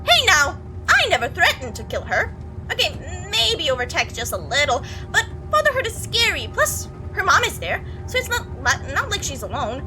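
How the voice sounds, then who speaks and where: A creepy young man from a visual novel, acted out by a woman, chatters on without pause. The pitch sits high and jumps around animatedly. He is breezy and oblivious, with casual menace under the friendly rambling, and music plays behind. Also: wildly swooping pitch, heavily acted, rough recording. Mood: defensive, breezy. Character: talkative, oblivious, creepy young male bus passenger.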